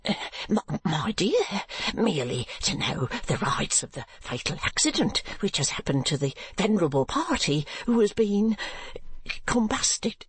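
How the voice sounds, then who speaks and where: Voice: mid-range voice, very animated, measured pace, slightly rough, no echo, pitched above the performer's own voice, exaggerated voice. A reader voicing a meek, nervous middle-aged stationer who stammers and pleads anxiously.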